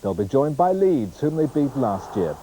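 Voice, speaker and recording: A man's voice: mid-range voice, sing-song, normal speed, dark tone, low-quality recording. A British man reading a scripted sports bulletin as a television news presenter. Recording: clear background noise, 1990s TV broadcast.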